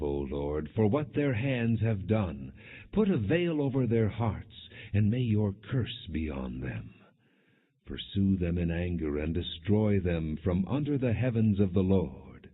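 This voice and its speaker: Deep, husky man reading scripture solemnly. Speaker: audiobook narrator. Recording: tinny phone-like narrow-band sound.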